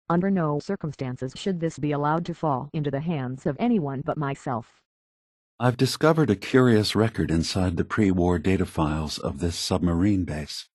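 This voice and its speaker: Low, husky, solemn android leader. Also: male voice, a little mellow, no room sound. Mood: clinical, detached. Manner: measured, grave, deliberate. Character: solemn, remorseful male synth android leader, idealistic and weary. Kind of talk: video game voice acting.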